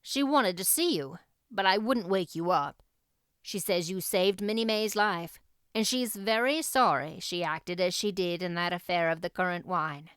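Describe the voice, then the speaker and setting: A woman voicing a brisk, caring but no-nonsense older farm woman in an audiobook, matter-of-fact and dryly scolding. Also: lowish voice, fairly bright tone, smooth, no room sound, clean studio sound, natural voice. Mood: brisk, measured. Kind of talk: audiobook character voice.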